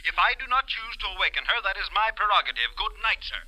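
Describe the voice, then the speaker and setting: Brief: fussy, formal businessman on a phone line, vintage radio drama, slightly high, very sharp. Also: sing-song, quick pace, full character voice. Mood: indignant, firm. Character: prim, stiffly polite businessman husband, indignant. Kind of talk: 1940s radio drama acting.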